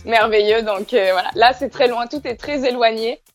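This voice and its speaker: A woman's voice: a little high, normal speed, soft background music. A young woman from southern France with a southern French accent and slight Quebec traces, answering casually in an interview, often searching for her words. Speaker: interview guest.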